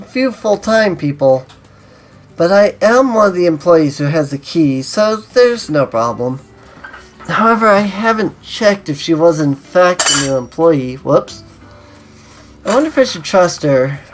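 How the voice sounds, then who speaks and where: Low-voiced woman, story read-aloud, chatty asides, faint music underneath. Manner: conversational asides. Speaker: host reading a visual novel aloud. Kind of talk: reading a story aloud with casual commentary.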